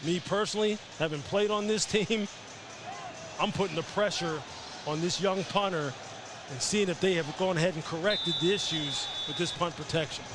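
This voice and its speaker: A man giving forceful sports analysis on a game broadcast, posing rhetorical questions. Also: sits high, moderate pace, music in the background. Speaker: TV game analyst, former player. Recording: noisy stadium broadcast audio. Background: stadium ambience.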